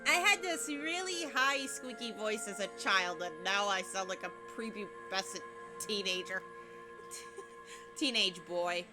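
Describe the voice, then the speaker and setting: A woman's voice: medium pitch, lively intonation, a little slow, poor audio. A woman with a light, youthful voice casually narrating a video game playthrough in a chatty, self-deprecating way. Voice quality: light, youthful voice she compares to a teen boy's. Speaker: let's play narrator. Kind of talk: casual gameplay commentary. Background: game music.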